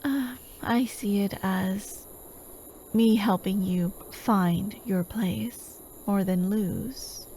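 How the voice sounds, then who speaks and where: A woman playing a slow, soft-spoken doting hypnotist. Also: mid-range voice, a little hiss or hum, poor audio. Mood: coaxing, possessive. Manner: gentle, coaxing, often dropping into whispers. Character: elusive female hypnotist, a doting, possessive, soothing captor. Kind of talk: soft-spoken ASMR roleplay. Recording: steady outdoor night ambience, chirping crickets. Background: crickets and night insects.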